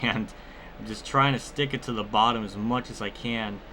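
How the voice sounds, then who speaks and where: A man's voice: fairly low pitch, fairly even intonation, normal speed, background noise. A man giving casual commentary over a racing video game, rambling and often cutting himself off with exclamations. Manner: rambling, self-interrupting, exclamatory. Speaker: gaming video host.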